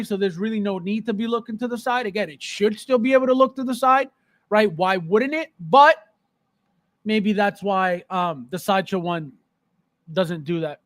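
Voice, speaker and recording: A man's voice: sits high, a bit bright, no echo. A man hosting a casual live stream about collectibles, conversational, repeating phrases for emphasis and checking in with the listener.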